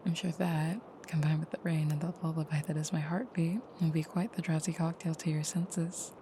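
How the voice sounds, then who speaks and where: A woman playing a tender, soft-spoken vampire girlfriend in an intimate audio roleplay, with a breathy near-whisper and gentle, slightly formal phrasing. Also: sits low, steady tone, normal speed, slight background noise, lightly acted. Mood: tender, soothing.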